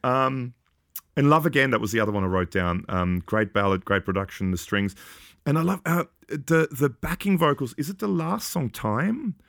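A man with a low voice talking casually about an album, hesitant and laughing often as he goes. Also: lively intonation, moderate pace, creaky, a bit bright, no room sound, clean studio sound. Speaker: podcast guest. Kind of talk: casual music discussion.

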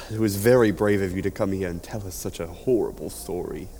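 An actor in an audio drama playing a patient, kindly police inspector, courteous and businesslike. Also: male voice, low voice, brisk, noisy background.

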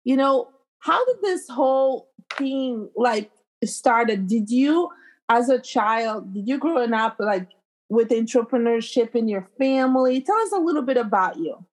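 A woman hosts a casual interview, effusive and gushing as she questions her guest. Her voice is somewhat high, and she speaks in a friendly conversational way. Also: expressive, a little slow. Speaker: host.

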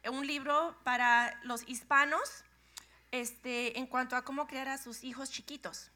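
Guatemalan lady chatting to the congregation, highish bright voice, jokes a lot. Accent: Guatemalan Spanish. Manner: lively and joking, laughs often. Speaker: guest speaker, pastor's wife. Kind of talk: church talk to a congregation.

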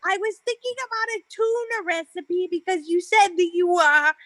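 A chatty old grandmother, played by a woman, speaks in a very high voice with a dry, close sound. She is vain about her youth and rambles through her memories in an improvised comedy scene. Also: moderate pace, fairly bright tone, no echo. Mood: wistful rambling. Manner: rambling, reminiscing. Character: chatty vain elderly grandmother who insists she is young.

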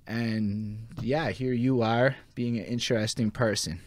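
A man's voice: medium pitch, steady tone, unhurried, no echo. Laid-back young man hosting a podcast. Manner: laid-back, slangy, storytelling with lots of "like". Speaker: podcast host. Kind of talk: casual interview chat.

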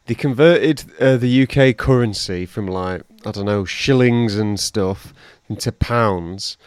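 A man's voice: on the low side, measured pace, clear, resonant tone. A man co-hosting a podcast, chatting casually and joking and teasing his co-host. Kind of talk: casual chat.

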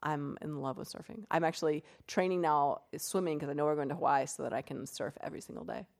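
A woman's voice: sits low, quick pace, vocal fry. A woman from Florida, an author, chatting as a guest on a podcast with bubbly enthusiasm and easy laughter. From Florida, USA. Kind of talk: casual interview chat.

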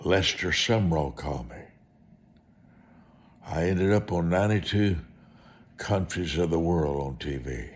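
A male preacher with a very deep voice delivers a personal testimony. He speaks slowly and deliberately, in a folksy way, leaning on repeated phrases to drive each point home. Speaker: preacher, evangelist.

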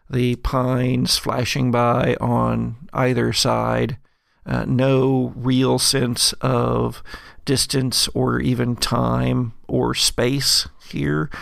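A man with a creaky, gravelly voice narrating a tabletop horror game as game master, in a halting, deliberate way. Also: mid-range voice, no room sound, studio quality.

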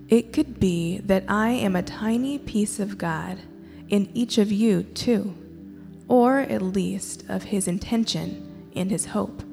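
A woman reciting a poem to a gathering, with deliberate, measured phrasing. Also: mid-range voice, warm tone. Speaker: poetry reader at church. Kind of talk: poetry recitation. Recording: echoey hall.

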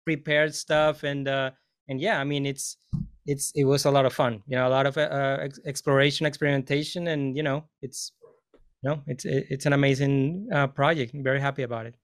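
A man's voice: mid-range voice, little pitch movement, brisk. A man, a film composer on a panel, talking casually and rambling with many fillers and restarts.

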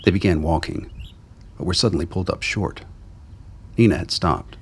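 A man with a gravelly, husky voice narrating a scripted radio drama. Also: low voice, normal speed, slight background noise.

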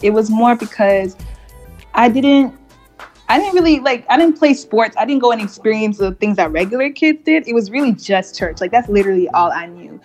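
A woman's voice: medium pitch, darkish tone. A woman in her twenties from Montreal with a Canadian accent, chatting candidly and casually as an interview guest. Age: 20s. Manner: chatty, candid, laughs easily. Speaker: interview guest, gospel singer.

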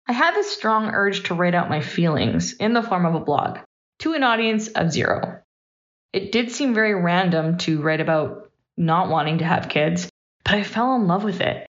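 A woman's voice: lowish voice, expressive, moderate pace. Dull-sounding recording, candid woman in her thirties. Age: 30s. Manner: candid, emphatic phrasing with short punchy sentences. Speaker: guest podcast host. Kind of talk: personal reflective monologue.

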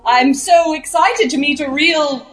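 A flirtatious society wife played by a woman in a radio comedy parody. She speaks coyly and with affectation in a high voice, with music behind. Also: a little slow, fairly bright tone. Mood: gushing. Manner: affected, coy. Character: flirtatious, breezy wife of a film producer. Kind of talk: improvised classic radio drama parody.

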